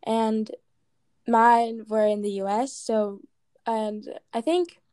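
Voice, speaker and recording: A woman's voice: on the high side, fairly even intonation, slow, dark tone, smooth, dry recording, clean studio sound. A woman from Ghana with an American accent, answering interview questions casually with many "like" and "um" fillers.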